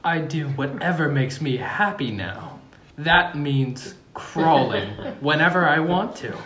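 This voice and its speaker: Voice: mid-range voice, expressive, slow pace, fairly bright tone, echoey room. An amateur reader playing a melodramatic, earnest king in a comedy script table read, declaiming theatrically in a room at home. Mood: grand, proclaiming. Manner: theatrical, swooping declamation broken by amusement. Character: melodramatic young king turned cheerful, earnest ruler.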